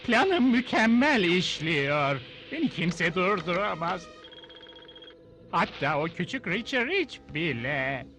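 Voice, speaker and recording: A man's voice: high-pitched, sing-song, takes it slowly, heavily acted. A man voicing a boastful, scheming supervillain in a dubbed cartoon, theatrical and gloating. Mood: gloating, triumphant. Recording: music behind the voice.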